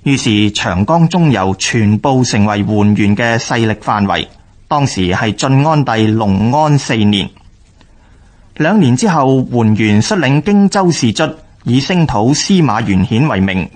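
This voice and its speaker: Male narrator, Hong Kong Cantonese accent, medium pitch, formal, measured phrasing, scripted history radio programme. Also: lively intonation, a little mellow.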